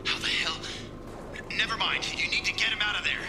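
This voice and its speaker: A man's voice: high-pitched, brisk. A man playing an anxious, agitated survivor calling urgently over a radio in a video game. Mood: alarmed, urgent. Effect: radio transmission. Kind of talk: video game character acting. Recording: echoey radio call with music behind.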